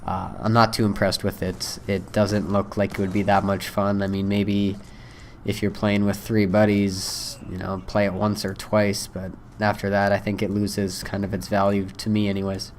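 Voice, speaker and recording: A hoarse young man chatting about a game in a low, fairly flat voice. He rambles loosely, filling pauses with ums and uhs. A faint hum sits underneath the recording. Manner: rambling, many fillers. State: hoarse from a cold. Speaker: gaming video creator. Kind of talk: casual first-impressions talk.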